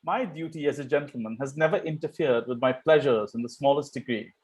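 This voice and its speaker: A man's voice: mid-range voice, fairly quick, darkish tone, natural voice. A man playing a cheeky, idle young Victorian dandy with a breezy, teasing delivery in an amateur table read of a stage comedy. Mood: flippant, teasing.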